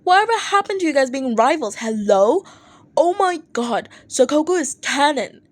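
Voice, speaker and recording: A woman voicing excitable young music fans posting online, exclaiming in disbelief. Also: high voice, normal speed, no room sound, pitched above the performer's own voice. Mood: shocked. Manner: exclamatory, incredulous.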